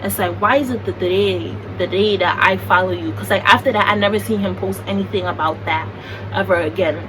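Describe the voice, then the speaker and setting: A young American woman telling a story in a chatty, animated, slangy way. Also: mid-range voice, brisk. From United States. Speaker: vlogger. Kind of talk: casual storytime. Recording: distant mic with background noise.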